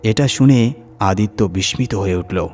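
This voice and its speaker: A man's voice: fairly low pitch, moderate pace, a little mellow, soft background music. A man reading a scripted horror story as its narrator, in a dramatic storytelling style.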